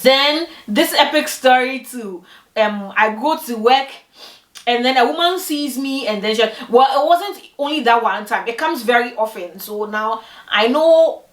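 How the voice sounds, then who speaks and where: A young woman from Ghana telling personal stories to camera in a lively way, acting out what other people said. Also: moderate pace. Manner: animated, acts out quoted people with big pitch jumps. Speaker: vlogger. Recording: echoey room, voice from a distance.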